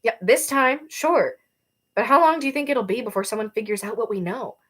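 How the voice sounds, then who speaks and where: Woman voicing a defiant young female rebel, higher pitched. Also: fairly quick, a bit bright. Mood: urgent, insistent. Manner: blunt, emphatic.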